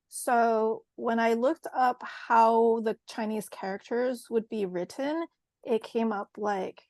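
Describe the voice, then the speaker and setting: Hesitant woman with a gravelly edge. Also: on the high side, darkish tone, no room sound. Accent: American English with a Mandarin tinge. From Taiwan. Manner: hesitant, many ums and self-corrections. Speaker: course participant. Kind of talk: casual group chat on a video call.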